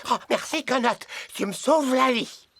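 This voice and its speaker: Small whiny animal boy for kids' animation: high-pitched, gravelly edge, excitable. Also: a little slow, background noise. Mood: relieved. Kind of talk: children's cartoon dialogue. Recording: music behind the voice.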